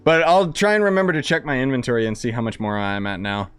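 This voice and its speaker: A man streams a video game and comments off the cuff. His mid-pitched voice is casual and jokey. Faint music sits behind his talk. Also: fast, a bit bright, clean tone. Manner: laid-back, off-the-cuff, jokey. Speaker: live streamer.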